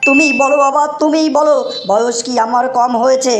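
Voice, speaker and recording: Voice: sits high, fairly even intonation, brisk, echoey room, a crowd in the background, lightly acted. An actor voicing a swaggering young village tough in a comic cartoon, declaiming like a folk-theatre hero with a rural Bangladeshi accent. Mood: melodramatic.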